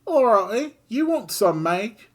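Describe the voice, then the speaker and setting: A game player voicing a brash young female thief in loud, swaggering slang, raised high above their own voice. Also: very animated, measured pace. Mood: sassy. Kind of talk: reading game dialogue aloud.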